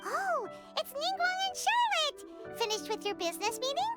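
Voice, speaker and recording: A woman voicing a small, childlike fairy companion in an anime game, with a squeaky, perky, cheeky delivery. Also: sing-song, normal speed. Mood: excited. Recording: game music and jingles behind.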